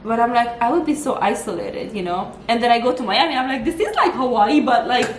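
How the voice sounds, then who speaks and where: A young woman hosting a casual makeup vlog, chatty and animated in speech, full of "like" and "girl.". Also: fairly high pitch, fairly quick. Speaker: vlog host. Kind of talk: casual chat while doing makeup. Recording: echoey room, faint noise.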